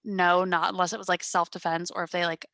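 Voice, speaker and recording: A woman in her twenties hosting a podcast on a headset, chatting casually in a calm, fairly monotone voice with lots of fillers and deadpan humor. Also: fairly low pitch, brisk, a little hiss or hum. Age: 20s. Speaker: podcast host. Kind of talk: casual chat. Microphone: gaming headset.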